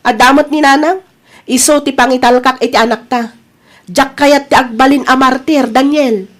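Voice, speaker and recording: Woman playing young Ilocano wife and mother: high voice, firm, reproachful, done being a martyr. Radio-style drama. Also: steady tone, moderate pace, lightly acted. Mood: resolute. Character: fed-up young wife and mother, defiant, resolved to leave. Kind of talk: Ilocano audio drama acting.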